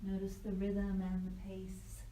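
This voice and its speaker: A woman leading a guided yoga nidra meditation as a teacher, speaking in slow, soothing, unhurried phrases with pauses between them. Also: mid-range voice, completely flat delivery, dark tone, a little hiss or hum, rough recording.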